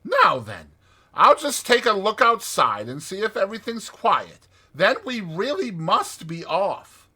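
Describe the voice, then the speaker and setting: A man's voice: high-pitched, sing-song, a little slow, bright, forceful tone, breathy edge, pitched above the performer's own voice, heavily acted. A man voicing a hearty, good-natured, capable water rat in a dramatized children's storybook, with an emphatic, jaunty manner. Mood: brisk.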